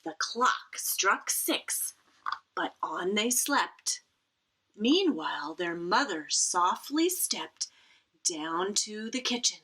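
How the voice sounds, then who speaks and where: A woman reading a picture book aloud to children in an expressive style, with dramatic emphasis and playful asides. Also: medium pitch, takes it slowly, slightly rough, rough recording.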